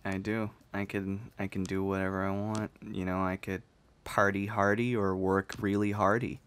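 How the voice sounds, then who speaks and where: Casting: young man around twenty, low-pitched voice, loose podcast chat, emphatic and sweary. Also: flat delivery, moderate pace, a bit bright, clear, resonant tone. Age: 19, turning twenty. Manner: profane, emphatic rambling. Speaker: podcast host. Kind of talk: casual chat.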